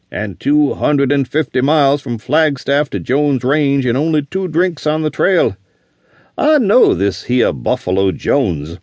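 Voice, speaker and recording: A man's voice: mid-range voice, darkish tone, dry recording, voice pushed up, close to an everyday voice. A man doing a blunt, scarred old plains veteran. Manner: blunt, drawling Western talk.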